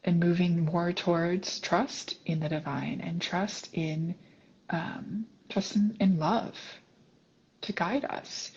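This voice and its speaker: A woman hosts a heartfelt conversation, her voice somewhat low and husky. She speaks earnestly, leaning into each point. The audio is dull and lo-fi, like a remote call. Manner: earnest, affirming, many soft agreements. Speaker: podcast host. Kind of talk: reflective conversation.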